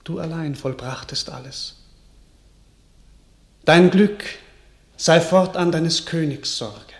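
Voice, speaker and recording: Gracious king, stately, slow, measured verse, radio drama. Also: on the high side. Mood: grateful. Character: grateful, gracious young king. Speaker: actor in a radio play.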